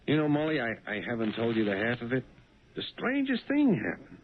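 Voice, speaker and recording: An actor playing an anxious, honest working-class cab driver in a 1970s radio drama, hesitant and stammering. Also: male voice, mid-range voice, wildly swooping pitch, brisk, a little hiss or hum. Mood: uneasy, puzzled. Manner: hesitant, stammering, nervously flustered. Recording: muffled band-limited 1970s radio recording.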